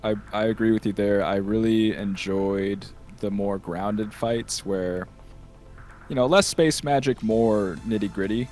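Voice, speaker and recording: A man guest in a casual interview, talking in a hesitant, halting way. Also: lowish voice, a little mellow, soft background music.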